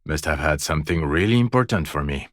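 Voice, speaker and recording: A jaded video game police detective with a very deep voice, recorded close and dry. He is sardonic and exasperated, delivering his foul-mouthed scorn with biting sarcasm. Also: animated, a little fast, dry recording. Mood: bitter sarcasm. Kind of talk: video game character voice acting.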